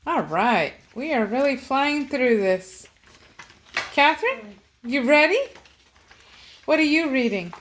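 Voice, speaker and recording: Woman, mother host, fairly high voice, casual prompting talk with kids, faint background noise. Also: very animated, slow, long pauses, a bit bright. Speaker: mother hosting a family Bible reading. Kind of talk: casual chat with children.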